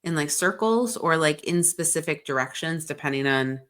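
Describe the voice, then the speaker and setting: A woman with a deep, low voice talks loosely on a podcast, piling up fillers and dropping swear words as she rambles through her week. She sounds a bit congested, and her delivery is unguarded and conversational. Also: expressive, moderate pace, fairly bright tone. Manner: rambling and frank, swears often, heavy "like" filler. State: congested from a sinus infection. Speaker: podcast co-host. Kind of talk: casual chat.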